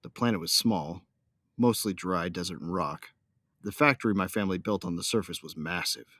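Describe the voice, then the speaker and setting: Low-voiced man acting a devoted young space captain. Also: moderate pace, fairly bright tone, no echo. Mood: earnest, tender. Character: young newlywed starship captain, loyal son of smugglers, devoted husband. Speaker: first-person narrator and character. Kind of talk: dramatic first-person audio drama narration.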